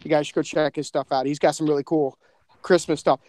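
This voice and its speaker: A man's voice: fairly high pitch, fairly even intonation, brisk, darkish tone, no echo, studio quality. A man hosting a podcast, talking casually and informally with a group in slangy, chatty phrasing. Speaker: podcast host and co-creator. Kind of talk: casual group chat about a horror podcast.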